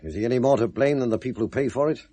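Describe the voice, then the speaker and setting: A man's voice: medium pitch, racing, mellow, close to an everyday voice. A man acting in a 1970s British radio drama, playing a dry, world-weary police detective who questions probingly. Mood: pressing, dry. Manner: wry, questioning. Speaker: actor in a radio play.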